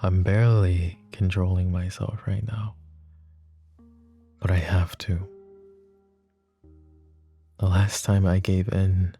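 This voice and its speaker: A man voicing a lovesick, possessive young mafia boss in an ASMR roleplay, speaking softly and intimately, often close to a whisper. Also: deep voice, little pitch movement, slow, vocal fry, pauses often, husky, faint music behind, full character voice. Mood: tender, yearning. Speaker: voice actor.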